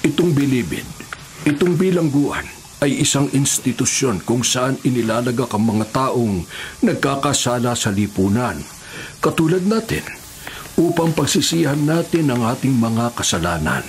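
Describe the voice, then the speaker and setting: An actor playing a devout, patient elderly prisoner in a radio drama, with a Filipino accent and an earnest, preachy, measured way of talking. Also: male voice, medium pitch, sing-song, slight background noise. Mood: gentle, instructive. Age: older. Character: kindly, patient, devout elderly male prisoner giving counsel.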